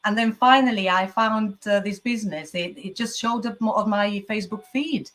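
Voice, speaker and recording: A woman's voice: medium pitch, moderate pace, fairly bright tone. Woman, from the UK, forties, interview guest, chatty, laughs often, stammers a little. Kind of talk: casual interview chat.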